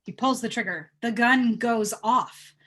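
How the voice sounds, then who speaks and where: A woman's voice: fairly high pitch, unhurried, fairly bright tone. A woman hosting a table read, moving between reading stage directions and casual chat with the group.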